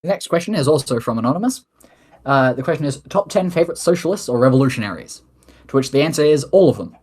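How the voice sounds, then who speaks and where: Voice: medium pitch, animated, fast, a little mellow, husky. A speaker taking submitted questions in a mock-formal political address, reading official statements with casual asides. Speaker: head of government of an online role-play nation.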